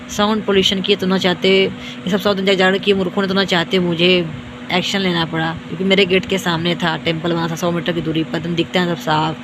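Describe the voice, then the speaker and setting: A young woman from India with a hoarse, worn-out voice rants to her viewers, repeating herself and scolding as she boasts. Her delivery stays flat, with little rise or fall. Traffic noise is clearly heard behind her. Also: fairly low pitch. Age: young adult. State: tired. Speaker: vlogger. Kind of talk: self-filmed rant to viewers.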